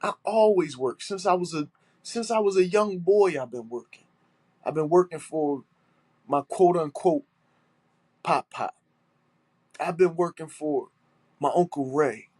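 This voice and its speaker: A man's voice: fairly high pitch, measured pace, pauses often, darkish tone, slightly rough, no room sound. A man with a stuffy nose, hosting a solo podcast and talking in an aggrieved, emphatic way, repeating his points and swearing. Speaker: podcast host.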